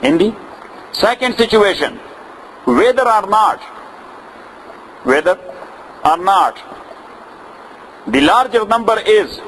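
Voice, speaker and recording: A man's voice: sits high, lively intonation, slow, pauses often, fairly bright tone, slight background noise, poor audio. A man with a Pakistani accent, English not his first language, lecturing to students, repeating phrases and checking that they follow. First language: neither Arabic nor English. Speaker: teacher. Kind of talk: classroom lecture on inheritance law.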